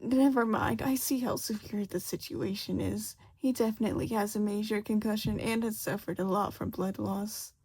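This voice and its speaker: A woman's voice: medium pitch, steady tone, normal speed, hardly any pauses, a little mellow, slightly rough. A woman voicing a scolding, tutting older female healer in an audiobook story. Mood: dry alarm.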